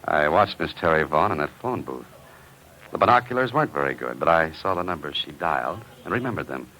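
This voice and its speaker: A man's voice: rumbling low, lively intonation, a little fast, sharp tone, slight background noise, exaggerated voice, poor audio. A man playing a cool, dryly sly investigator who narrates his own adventure in a 1950s radio mystery. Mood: wry, measured. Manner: dry, playfully sly, clipped. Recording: vintage radio recording with music.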